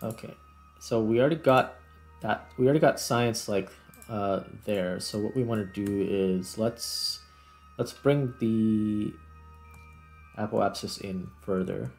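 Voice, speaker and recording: A man with a fairly low voice talks through a game he is playing. His delivery is casual, as he thinks each step aloud. Faint music plays behind him. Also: slow, darkish tone. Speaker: gaming video host. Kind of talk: casual gameplay commentary.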